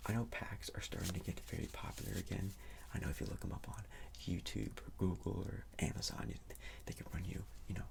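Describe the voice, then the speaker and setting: Man, breathy ASMR whisper, very gravelly fry, casual rambling sales clerk roleplay, soft-spoken. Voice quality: breathy whisper with low creaky undertone. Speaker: ASMR performer playing a sports store clerk.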